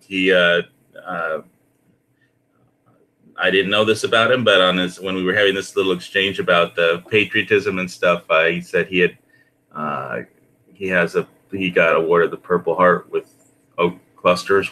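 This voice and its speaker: Bright, very low-voiced man chatting casually. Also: a little hiss or hum, low-quality recording. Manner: hesitant, halting delivery with repeated phrases. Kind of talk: casual, rambling conversation.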